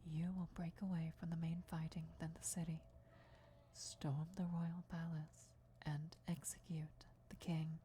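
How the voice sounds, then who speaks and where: A woman's voice: low-pitched, level tone, slight background noise. Woman playing a whispering, deferential vampire emissary. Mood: hushed, deferential. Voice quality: breathy whisper. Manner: hushed, formal, measured, reverent. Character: deferential female vampire emissary briefing her lord before war. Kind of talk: whispered ASMR fantasy roleplay.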